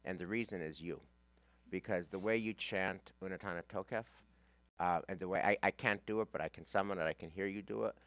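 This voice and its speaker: Male rabbi for a study-class debate: low register, insistent repetition, very dry recording. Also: a little fast, fairly bright tone, no echo. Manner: emphatic, repeats phrases for stress. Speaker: rabbi leading a class discussion.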